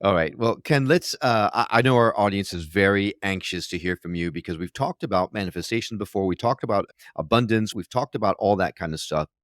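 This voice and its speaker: A man's voice: on the low side, fairly quick, hardly any pauses, dry recording. A man co-hosting a podcast who talks eagerly and effusively, sometimes stumbling over his words. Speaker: podcast co-host. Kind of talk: podcast interview.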